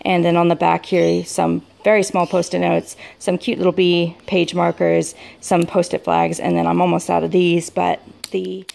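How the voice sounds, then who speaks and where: An American woman casually talking through a show-and-tell, rambling a little hesitantly, with gentle enthusiasm for small details. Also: on the low side, flat delivery, moderate pace, gravelly, few pauses. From United States. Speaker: vlogger showing a planner setup. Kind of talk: casual product walk-through.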